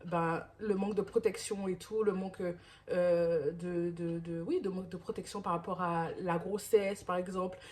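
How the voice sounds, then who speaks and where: A woman's voice: lowish voice, fairly even intonation, normal speed, a little mellow. A woman in her thirties talking casually to camera in a vlog, rambling with many restarts and fillers. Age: 30s. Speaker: vlogger.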